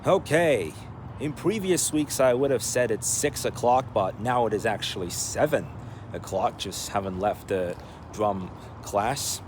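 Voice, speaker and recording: A man's voice: on the low side, animated, measured pace, heavy background noise. A man vlogging casually, rambling and joking as he talks half to himself and half to his viewers. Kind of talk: casual rambling vlog to camera.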